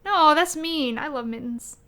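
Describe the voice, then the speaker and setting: A woman's voice: high voice, measured pace, a bit bright. A young woman hosting a casual gaming podcast, chatting in a hesitant, giggly way. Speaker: podcast host.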